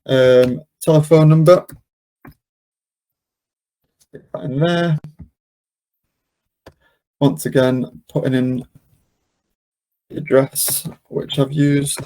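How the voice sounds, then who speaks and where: A man hosting a webinar, casually talking through a software demonstration step by step without a script. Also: a little high, flat delivery, extremely slow, pauses often, low-quality recording. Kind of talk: unscripted software walkthrough.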